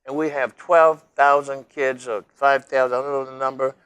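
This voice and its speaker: A man's voice: medium pitch, fairly even intonation, normal speed, a bit bright, smooth. Folksy older male talk show host. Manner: rambling, folksy, addresses viewers as folks. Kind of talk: local cable interview.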